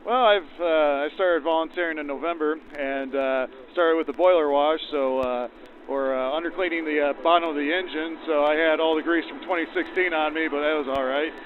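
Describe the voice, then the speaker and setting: A man's voice: fairly high pitch, fairly even intonation, a little fast, a little hiss or hum. A man giving casual, hesitant, folksy interview answers as a volunteer, filtered like a 1940s radio broadcast. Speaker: railroad volunteer crew member. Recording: narrow phone-like vintage radio sound.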